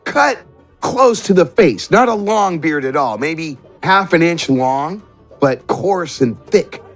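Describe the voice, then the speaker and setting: A fairly high-voiced man works as game master, narrating a fantasy tabletop scene off the cuff. He builds his descriptions phrase by phrase. Soft music plays behind him. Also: animated, takes it slowly.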